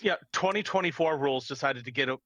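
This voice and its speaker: A man's voice: medium pitch, fast, a bit bright, dry recording. A man playing a tabletop game, chatting casually and jokingly in a wry, chuckly way.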